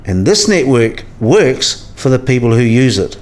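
A man's voice: medium pitch, sing-song, unhurried, a little mellow. A man playing a learned, philosophical professor and patient mentor in a scripted radio play, with a professorial way of talking. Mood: explaining.

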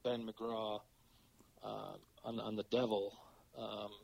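A middle-aged man with a cold and a raspy voice, talking as a podcast guest in a halting way with many fillers. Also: fairly low pitch, flat delivery, darkish tone, dry recording, highs cut off. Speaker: podcast guest, philosophy professor. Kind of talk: casual interview talk.

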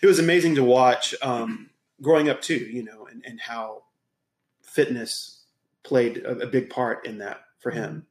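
A man from Texas, a podcast guest, telling his life story in a relaxed, casual way with many pauses and ums. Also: medium pitch, reverberant. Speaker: podcast guest, gym owner. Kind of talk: casual interview talk.

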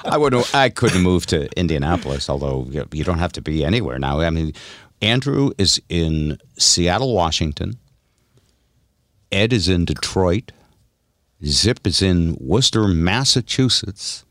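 A man's voice: low-pitched, lively intonation, a little slow, fairly bright tone, dry recording, clean studio sound. An older man hosting a radio show, chatting and telling stories casually in a conversational, wry way. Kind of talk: casual radio banter and storytelling.

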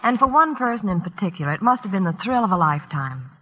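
A woman's voice: mid-range voice, sing-song, quick pace, bright, forceful tone, a little hiss or hum. An American woman as a Hollywood reporter reading scripted 1940s radio promotion. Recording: muffled old radio broadcast in reverberant hall.

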